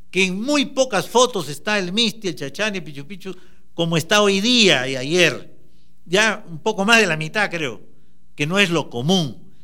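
Man, motivational speaker, high voice, bright and forceful, exhorting. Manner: emphatic, exhorting the listener directly. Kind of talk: motivational talk.

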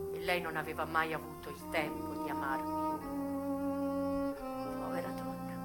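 A woman's voice: medium pitch, close to an everyday voice. An actress playing an American brothel madam who recalls her hard youth in a bitter, frank, emotive theatrical monologue for radio. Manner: emotive, deliberate phrasing with sharp swings from hushed to loud. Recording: voice over music. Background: music.

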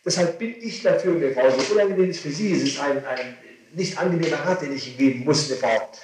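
An echoey, slightly noisy 1960s tape of a man with a fairly high voice. He speaks as a defence lawyer, laying out advice at length. He repeats himself insistently. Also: fast, darkish tone, recorded from a distance. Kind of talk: legal advice in a recorded interrogation hearing.

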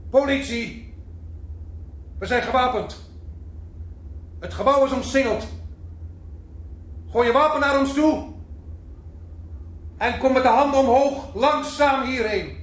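An actor playing a firm, probing police inspector in a crime radio drama. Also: male voice, very high-pitched, takes it slowly, pauses often. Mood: urgent, commanding. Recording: echoey, slightly noisy archived radio drama.

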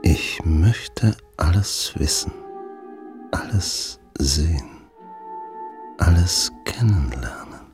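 A deep-voiced bedridden man speaks his inner thoughts in a radio drama. He is hushed and intense, halting and very slow, with loud music behind him. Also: expressive, exaggerated voice. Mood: hushed obsession. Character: helpless bedridden male patient, obsessed with knowledge.

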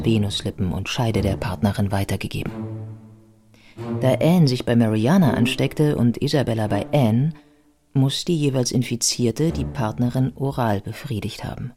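A man narrating a scripted biographical radio play in a measured, scholarly manner. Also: medium pitch, close to an everyday voice.

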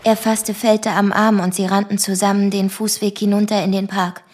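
An actress playing a composed, formal woman who gives a measured, matter-of-fact witness account in a radio play. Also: medium pitch, level tone, darkish tone, lightly acted. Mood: composed, sober. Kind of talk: radio play narration, scripted. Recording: music behind the voice.

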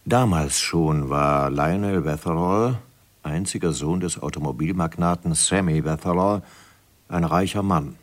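Casting: male narrator, extremely low voice, measured literary storyteller for vintage radio drama. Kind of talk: scripted narration of a 1970s radio play.

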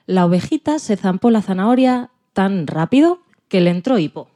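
A woman's voice: medium pitch, big pitch swings, normal speed, dark tone. A woman with a Spain accent narrating a dramatized children's story expressively. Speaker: podcast presenter and story narrator.

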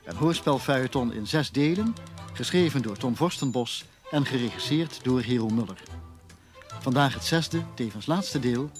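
Casting: male broadcast announcer, formal scripted credits, medium pitch, varied intonation, faint music. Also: lively intonation, moderate pace.

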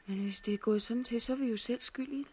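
Breathy, vulnerable radio-drama survivor. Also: female voice, a little high, darkish tone. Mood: earnest, questioning. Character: vulnerable, frightened yet questioning female catastrophe survivor. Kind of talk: 1960s Danish radio drama acting.

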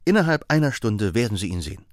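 Casting: a confident, sharp-minded detective with a lowish voice, voiced by a male reader and brisk, assured reasoning. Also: big pitch swings, dry recording, studio quality.

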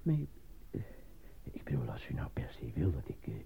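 An actor in a radio play voicing an eager, naive, easily flustered man who stammers and gets excitable. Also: rumbling low, moderate pace, gravelly, whispered, heavily acted. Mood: nervous, flustered. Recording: muffled lo-fi old radio recording.

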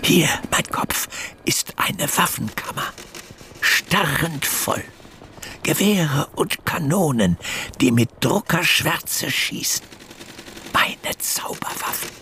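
An actor playing a boastful revolutionary fanatic in a radio drama, intense and prone to whispering. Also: male voice, on the high side, animated, slow, bright, forceful tone, slight background noise, exaggerated voice. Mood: menacing, boastful. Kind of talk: German radio drama acting. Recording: music bed behind the voice.

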